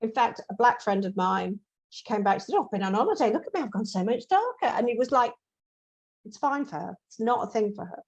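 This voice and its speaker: A woman's voice: a little high, very animated, quick pace, slightly rough, muffled recording. A woman hosting a webinar, chatting casually and reading out audience questions. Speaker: webinar host.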